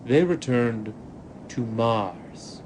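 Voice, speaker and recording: An actor playing a pompous, bloodthirsty Martian warrior villain in an audio drama, given to theatrical speeches. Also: male voice, medium pitch, expressive, extremely slow, a little mellow, a little hiss or hum. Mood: grandiose storytelling.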